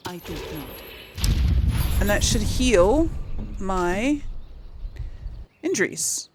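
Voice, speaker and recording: A woman's voice: mid-range voice, vocal fry, a little hiss or hum. A woman giving casual game playthrough commentary, thinking aloud and muttering to herself as she goes. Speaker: gaming channel host.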